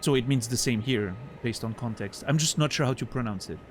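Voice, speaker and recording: A man's voice: mid-range voice, brisk, a little hiss or hum. Chatty French-accented man streaming a game. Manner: chatty, thinks aloud, answers chat with playful mock outrage. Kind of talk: casual livestream gameplay commentary.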